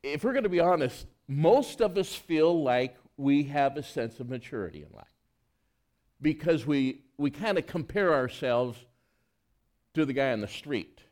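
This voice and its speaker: A man's voice: mid-range voice, lively intonation, a little slow, a little mellow. A male pastor giving a conversational sermon, reading scripture and asking the congregation rhetorical questions.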